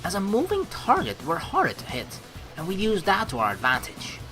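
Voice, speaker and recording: A woman streamer reading a game's narration aloud, mixed with casual, expressive commentary. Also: mid-range voice, normal speed, soft background music. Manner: expressive, animated asides. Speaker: let's play streamer.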